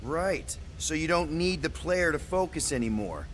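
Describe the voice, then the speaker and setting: A man's voice: fairly high pitch, animated, moderate pace, a bit bright, slight background noise. A man playing an easygoing young leader of a space crew in a video game, casual, inquisitive and a little awkward. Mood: casual, probing.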